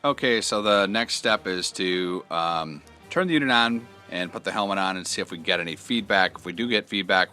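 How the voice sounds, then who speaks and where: A man's voice: low voice, sharp tone. A man presenting a casual step-by-step instructional video, explaining things in a conversational, hands-on way. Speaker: host of an instructional product video.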